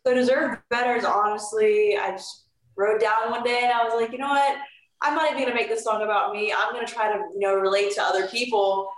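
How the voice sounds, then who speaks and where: A woman's voice: fairly high pitch, steady tone, a little fast. Chatty teenage girl in an echoey room. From Florida. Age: teens. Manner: chatty, upbeat, uses fillers like "like" and "you know". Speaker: guest singer. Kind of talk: casual interview chat.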